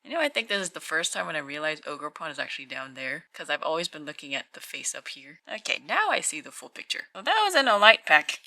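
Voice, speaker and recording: A woman with a croaky morning voice, casually talking to herself while unboxing at a tabletop and reading out numbers. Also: low voice, sing-song, bright, forceful tone. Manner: slow, musing, reads out weights. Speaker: channel host opening card packs. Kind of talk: casual hands-only unboxing commentary. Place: indoors at a tabletop.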